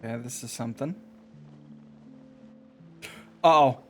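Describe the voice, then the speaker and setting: A man's voice: mid-range voice, fast talker, fairly bright tone, soft background music. A man streaming a video game, reacting casually with exclamations and sardonic asides.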